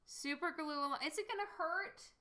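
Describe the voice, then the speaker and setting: A woman's voice: very high-pitched, moderate pace, sharp tone. A woman artist casually chatting with stream viewers while she paints, giggling often and answering their questions.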